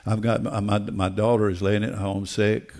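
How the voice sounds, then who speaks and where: An older man preaching a sermon in an emphatic, deliberate way, repeating phrases for weight. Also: fairly low pitch, level tone, a little mellow. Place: church auditorium stage. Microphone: headset.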